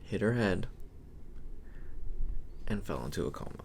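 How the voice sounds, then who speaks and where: Young man, podcast co-host, very low gravelly voice, slow halting reflective talk. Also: lively intonation, background noise. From Hawaii. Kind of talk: casual podcast chat.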